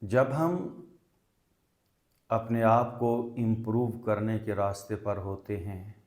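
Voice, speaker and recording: A man leading a guided meditation as a teacher, speaking in deliberate short phrases with long pauses. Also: lowish voice, fairly even intonation, darkish tone. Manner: mixes English words into Hindi. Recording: echoey, reverberant room sound.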